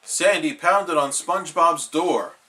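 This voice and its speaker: Casting: male children's story reader, bright voice, unhurried pace, very expressive. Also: a little high. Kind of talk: animated children's storybook reading.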